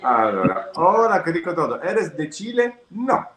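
A man's voice: on the high side, wildly swooping pitch, a little slow, a crowd in the background. Chatty Italian man, echoey room. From Italy. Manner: chatty, switches between English and Spanish. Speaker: live shopping show host. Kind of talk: casual live stream chat, reading viewer comments.

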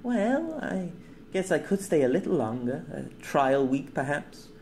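A man voicing a playful, kind and cheeky young woman in an audiobook, teasing and warm. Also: on the high side, sing-song, measured pace, a little hiss or hum, voice pushed up.

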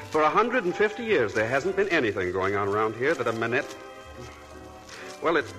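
A man's voice: medium pitch, a bit bright, natural voice, low-quality recording. An actor playing a charming, aimless young man from an old family, in 1940s radio acting, wistful and self-mocking. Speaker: Robert Manette. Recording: old radio broadcast with faint music.

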